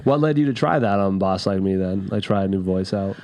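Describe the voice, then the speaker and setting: A man with a very deep voice hosts a podcast conversation. He asks long, curious questions in a loose, easygoing way. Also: brisk, darkish tone. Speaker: podcast host. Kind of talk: casual interview questions.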